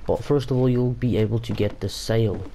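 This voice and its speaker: A man's voice: mid-range voice, moderate pace, dark tone, a little hiss or hum. A young man whose first language is Afrikaans, casually commenting over gameplay with many fillers and restarts. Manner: rambling, frequent fillers and restarts. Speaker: gaming YouTuber.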